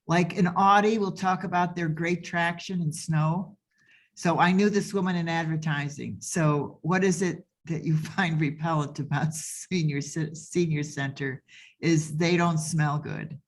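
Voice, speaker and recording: A woman with a low-pitched voice speaks as a workshop participant. Her delivery is a casual, conversational explanation. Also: steady tone, measured pace.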